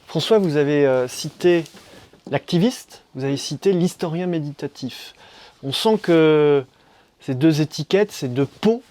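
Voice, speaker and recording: A man interviewing guests, asking long, deliberate, prepared questions. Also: a little high, mellow.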